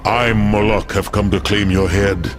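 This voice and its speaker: An actor playing a boastful, brutish demon warlord in a video game, loudly declaiming threats. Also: male voice, lowish voice, fairly even intonation, unhurried, music in the background, lightly acted. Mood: menacing triumph. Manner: loud, menacing, declaiming. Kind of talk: video game cutscene acting.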